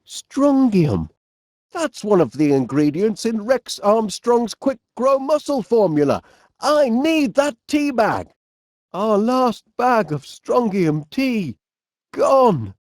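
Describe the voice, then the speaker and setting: A cheerful, middle-aged Northern English inventor, bumbling and polite. His delivery is slow and very swoopy, with quaint exclamations and flustered hesitations. It is a comedy game character, recorded close and dry. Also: extremely high pitch, darkish tone, no echo, heavily acted. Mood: puzzled, indignant. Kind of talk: animated comedy game voice acting.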